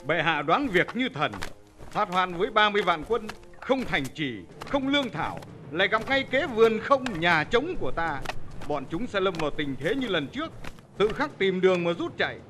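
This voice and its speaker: Historical animation commander: loyal, declamatory, bright lively delivery. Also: male voice, high-pitched, normal speed, faint music behind, heavily acted. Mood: proud, assured. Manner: formal, declamatory. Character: loyal, confident royal commander reporting to his emperor. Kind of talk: animated film voice acting.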